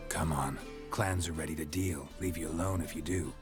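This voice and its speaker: A hardened fantasy game mercenary with an extremely deep voice. He is laconic and deadpan, stoic whatever is said, over a clear music score. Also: normal speed. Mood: terse, firm. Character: stoic, terse male monster-hunting mercenary. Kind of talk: video game cutscene acting.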